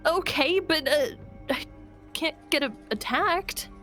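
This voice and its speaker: A woman playing a hesitant, hopeful young apocalypse survivor in an improvised game roleplay, speaking haltingly and trailing off. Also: sits high, pushed and bright, soft background music, full character voice. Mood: defensive.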